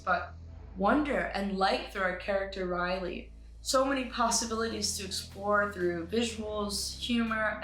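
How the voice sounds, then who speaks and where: A woman giving an articulate, earnest scripted pitch as a filmmaker presenting her project, with some light asides. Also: a bit bright. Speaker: animator and writer pitching a short film. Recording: roomy echo with music behind. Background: music.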